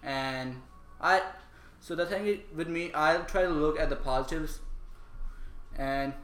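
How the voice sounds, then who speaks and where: A man's voice: on the high side, unhurried, fairly bright tone. Blunt young man, echoey room. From Pakistan. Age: young adult. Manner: frank, blunt, swears casually. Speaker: podcast co-host. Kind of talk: casual group chat. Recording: echoey room with faint ambience.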